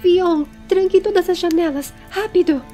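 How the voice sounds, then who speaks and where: A woman's voice: very high-pitched, moderate pace, mellow, faint music behind, pitched above the performer's own voice. A Brazilian woman voicing a commanding, protective lady with firm maternal authority in a dramatized fantasy audiobook. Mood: urgent command.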